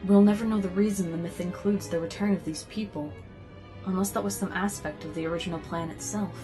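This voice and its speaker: A woman playing a level-headed young scientist in an amateur sci-fi drama, with a measured, explanatory manner. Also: fairly low pitch, fairly even intonation, over music, lightly acted. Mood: analytical.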